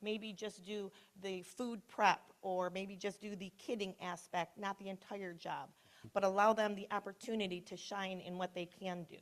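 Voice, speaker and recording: A woman's voice: mid-range voice, steady tone, moderate pace. Panelist, female, mid-range voice, encouraging, a bit hesitant. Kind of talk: panel discussion.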